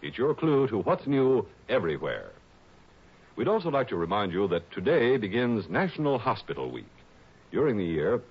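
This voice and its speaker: A man's voice: lowish voice, breathy edge. A male radio announcer reading a scripted network promo in a polished, earnest, formal way. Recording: lo-fi 1960s radio broadcast.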